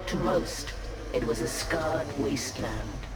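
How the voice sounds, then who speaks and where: An actor playing the grave storyteller of a grim war saga, delivering dramatic scripted game narration. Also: male voice, mid-range voice, slow, close to an everyday voice. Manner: grave, portentous. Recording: echoing, loud music behind.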